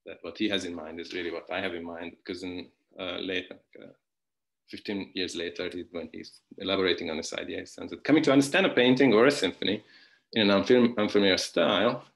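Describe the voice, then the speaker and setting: Low-voiced Hungarian man giving a wry lecture. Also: fast, gravelly. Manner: digressive, many restarts and fillers, wry asides. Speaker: philosophy lecturer. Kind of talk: academic conference talk. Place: online conference talk.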